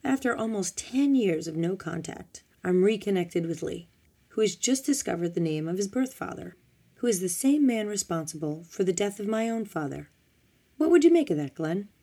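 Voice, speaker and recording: Woman as a composed, guarded man, mellow. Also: medium pitch, animated, moderate pace, husky. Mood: reserved. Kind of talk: audiobook character dialogue.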